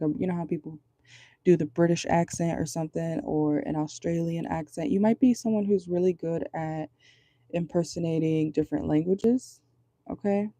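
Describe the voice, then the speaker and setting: A woman with a low voice giving a casual tarot reading from home, chatty and rambling, often checking in with her viewers. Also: dark tone, dry recording. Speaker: tarot reader. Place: at home indoors at night.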